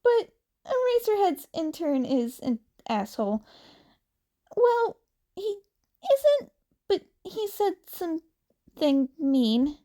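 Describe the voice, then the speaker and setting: A woman's voice: very high voice, sing-song, slow, long pauses, warm tone, pitched above the performer's own voice, full character voice. A woman voicing an upset, awkward teenage boy vigilante in a story, hesitant and apologetic. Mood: sullen, hurt.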